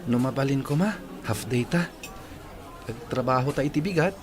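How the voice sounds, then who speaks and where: A man's voice: medium pitch, expressive, normal speed, close to an everyday voice. A man with an Ilocano accent playing a hesitant, chatty working man in a radio drama. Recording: clearly noisy recording with clicks.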